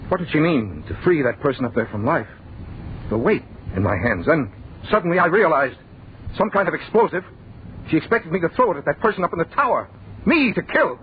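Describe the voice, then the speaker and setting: A man's voice: a little high, big pitch swings, a little fast. An actor playing a horrified man who tells of being flung into a deathless future, intense first-person acting in a noisy, muffled old-time radio horror play. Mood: bewildered horror. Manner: dramatic, intense.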